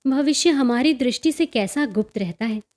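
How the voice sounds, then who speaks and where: A woman reading a literary story as an audiobook narrator, in an expressive, enunciated way. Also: sits high, moderate pace.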